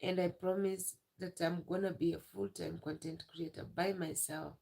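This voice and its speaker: A young woman on a live stream, tearful and emotional, talking in halting phrases and repeating herself. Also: on the low side, fairly even intonation, gravelly, warm tone, breathy edge. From Uganda. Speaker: livestreamer.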